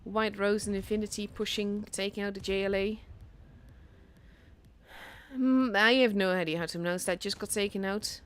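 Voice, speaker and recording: A young Dutch woman, a native Dutch speaker, casting a tank game solo in a chatty, self-deprecating way that grows excited at big plays. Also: mid-range voice, moderate pace, long pauses, clear, resonant tone. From Netherlands. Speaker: esports caster.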